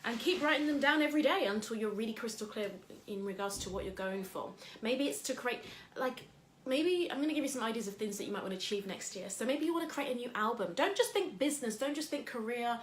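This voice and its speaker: A woman's voice: fairly high pitch, expressive, fast talker, few pauses, reverberant, slight background noise, low-quality recording. A woman from England hosting a casual motivational talk. She talks in a chatty, rambling way with lots of fillers. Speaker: host.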